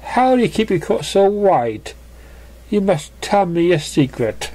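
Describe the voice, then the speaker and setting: A reader voicing a refined, fashionable female unicorn with a genteel, drawn-out, effusive manner. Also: medium pitch, sing-song, mellow, voice pushed up. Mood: admiring.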